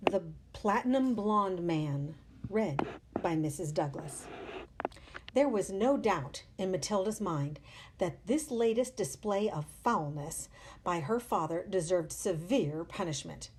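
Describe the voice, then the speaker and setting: A woman's voice: on the low side, slow pace, background noise. Woman reading a storybook aloud. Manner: expressive storytelling. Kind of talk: reading a children's novel aloud.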